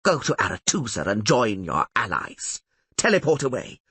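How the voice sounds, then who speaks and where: A man's voice: lowish voice, huge pitch swings, moderate pace, bright, forceful tone, breathy edge, no room sound, heavily acted. A man reading an audiobook voices an imperious older sorceress who speaks in a formal, commanding way. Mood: stern weary. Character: stern, imperious older female archmistress sorceress.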